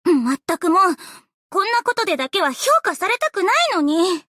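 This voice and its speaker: A woman playing an earnest, bubbly, easily flustered young female idol in an anime game, with a cute, expressive, girlish delivery. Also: very high-pitched, a little fast, no echo, clean studio sound, exaggerated voice. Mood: mildly grumbling. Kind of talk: anime game character voice lines.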